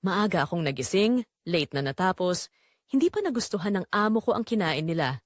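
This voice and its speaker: A woman's voice: lowish voice, very animated, a little fast, no room sound, clean studio sound. A woman with a Filipino accent playing a weary, anguished migrant-worker mother, telling her story in an emotive, confiding audio drama narration. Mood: weary, pained.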